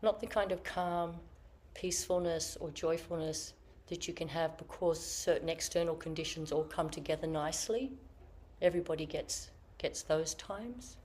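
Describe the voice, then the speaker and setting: Low-voiced woman giving a slow Zen talk. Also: a little mellow. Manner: slow, reflective, searching phrasing. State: slightly croaky from illness. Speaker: Zen teacher. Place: meditation hall with online audience.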